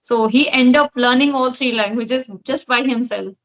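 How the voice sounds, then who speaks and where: A woman's voice: fairly high pitch, normal speed, fairly bright tone. A woman in her thirties with an Indian accent, sharing her views earnestly in a casual chat. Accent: Indian English. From Uttar Pradesh, India. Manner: earnest, with short affirming interjections. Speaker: conversation partner. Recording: dull, lo-fi sound.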